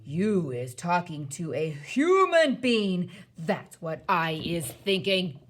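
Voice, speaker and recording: A woman acting huge brutish male giant, booming, bullying, shouted insults, mangled grammar, storybook villain. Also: very animated, slow pace, exaggerated voice. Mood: accusing, menacing. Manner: broken childlike grammar with nonsense insults.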